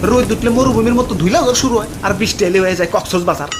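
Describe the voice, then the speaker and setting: A man's voice: sits high, racing, natural voice. A man voicing a sarcastic young village lad in a comic cartoon, complaining in exaggerated Bangladeshi dialect over music. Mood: sarcastic grumbling. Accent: Bangladeshi, Dhaka-area dialect.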